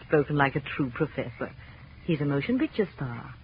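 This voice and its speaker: An actress playing a professor's playful, adoring, easily awed wife in a 1940s radio drama, with an expressive delivery. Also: female voice, deep voice, moderate pace. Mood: playful, tender. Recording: muffled, noisy old radio recording.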